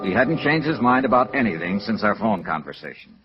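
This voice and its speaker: An American man playing a brisk, hard-boiled insurance investigator in a 1950s radio noir drama, clipped and matter-of-fact. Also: lowish voice, sing-song. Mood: businesslike. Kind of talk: 1950s radio noir acting and first-person narration. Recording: muffled vintage radio recording with music.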